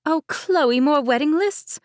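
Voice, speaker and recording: A woman's voice: sits high, lively intonation, slow, no echo, studio quality, pitched above the performer's own voice. A woman voicing a bubbly, well-off young bride who teases and gushes. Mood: teasing. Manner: gushing, teasing, bright.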